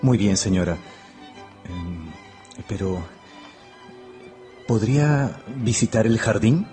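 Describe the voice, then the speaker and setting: An actor playing a polite, anxious yet self-assured young historian in a radio drama. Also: male voice, on the low side, animated, slow, dark tone, soft background music.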